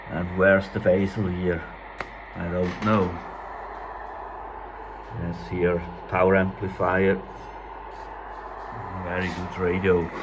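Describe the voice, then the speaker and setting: A man with a non-native English accent casually narrating a hands-on demonstration as a radio hobbyist, speaking haltingly in short, simple phrases. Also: deep voice, background noise. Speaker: radio repair hobbyist presenting.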